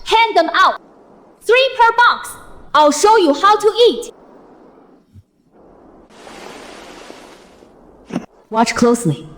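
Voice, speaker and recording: A woman's voice: extremely high pitch, very animated, a little slow, a bit bright, exaggerated voice. A woman voicing a blunt, weary young female army general in an anime dub, bossy and sardonic. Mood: brisk, instructive. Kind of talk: dubbed motion-comic anime narration and dialogue.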